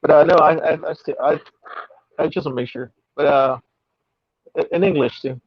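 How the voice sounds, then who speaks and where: A soft-spoken man from Mexico City with a Mexican Spanish accent, a native Spanish speaker answering hesitantly with fillers over a video call. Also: fairly high pitch, moderate pace, no echo. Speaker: guest illustrator. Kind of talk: interview answers. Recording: muffled, dry video call audio.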